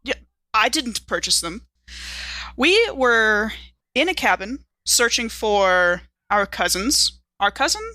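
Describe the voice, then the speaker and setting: Woman playing a flustered sarcastic detainee in an rpg, bright voice, picks her words carefully, very dry recording. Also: a little high, animated, takes it slowly, no room sound, exaggerated voice. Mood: flustered, insistent. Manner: hesitant, precise, dryly sarcastic. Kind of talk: improvised tabletop roleplay.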